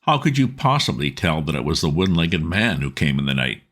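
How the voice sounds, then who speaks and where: Man doing a composed gentleman detective. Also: rumbling low, big pitch swings, a little fast, heavily acted.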